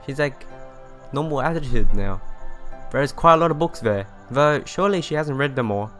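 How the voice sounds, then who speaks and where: Male let's play host, medium-pitched voice, reads story narration and dialogue with occasional stumbles, soft game music behind. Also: expressive, moderate pace. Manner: stumbles and rereads phrases. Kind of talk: reading visual novel narration and dialogue aloud.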